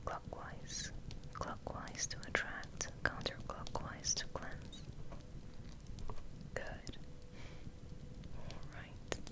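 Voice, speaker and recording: A woman speaks in a breathy, unvoiced whisper as an ASMR host. Her delivery is very slow and gentle, with soothing affirmations and softly repeated words.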